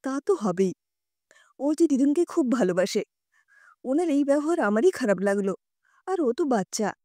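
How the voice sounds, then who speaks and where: Tender, worried young mother, a woman storyteller acting: earnest, emotional, soft mellow voice, audio drama. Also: sits high, very animated, normal speed, no room sound, studio quality. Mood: regretful. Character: anxious, tender-hearted young mother, quick to scold and regret.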